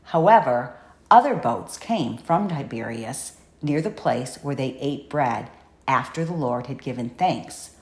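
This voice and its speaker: A woman narrator reading scripture from a script in a measured, solemn way. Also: rumbling low, expressive, roomy sound. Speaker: audiobook narrator.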